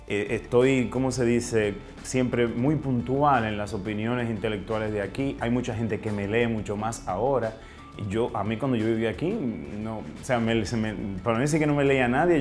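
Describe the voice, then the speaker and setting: A man with a Dominican Spanish accent talking reflectively in an interview, often searching for words and ending phrases with question tags. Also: medium pitch. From Dominican Republic. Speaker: writer and interview guest.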